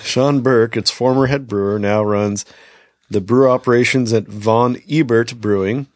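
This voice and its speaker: A man's voice: medium pitch, fairly even intonation, unhurried, no echo. A man hosts a laid-back podcast, reading news stories aloud and breaking in with his own remarks. His voice sits in the middle range and sounds dry and close. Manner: reads news items aloud with asides. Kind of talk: casual chat.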